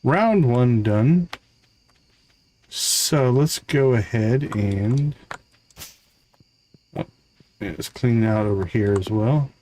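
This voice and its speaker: A man's voice: medium pitch, expressive, very slow, long pauses, darkish tone, a little hiss or hum, low-quality recording. A man giving casual live gameplay commentary, easygoing and explanatory as he chats with his viewers. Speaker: live game streamer.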